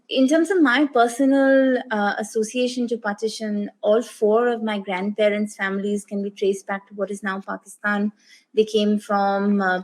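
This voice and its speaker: A woman, a guest author and oral historian, answering a panel question thoughtfully, with articulate phrasing and hesitant fillers. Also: mid-range voice.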